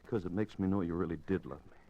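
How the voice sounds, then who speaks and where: A middle-aged condemned husband in an old 1950s radio play, with a deep voice. He speaks haltingly and gently, resigned to his fate and tender toward the woman he loves. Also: gravelly, highs cut off, slight background noise. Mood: tender, sorrowful. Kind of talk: 1950s radio drama acting.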